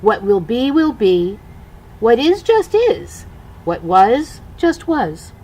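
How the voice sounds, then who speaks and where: An older woman, a grandmother, reading a rhyming children's storybook aloud in an expressive, singsong way. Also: a little high, takes it slowly, slightly rough, low-quality recording.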